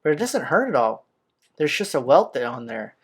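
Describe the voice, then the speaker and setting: A man's voice: on the high side, sing-song, moderate pace, slightly rough. A man hosting a let's-play, reading a visual novel's narration aloud. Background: game music.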